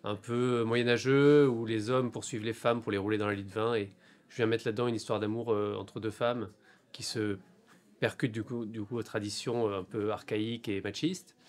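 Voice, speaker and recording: A man in his forties from France, an author talking about his books in a bookshop interview, thoughtful and hesitant, with many pauses and fillers. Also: on the low side, fairly even intonation. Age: 40s.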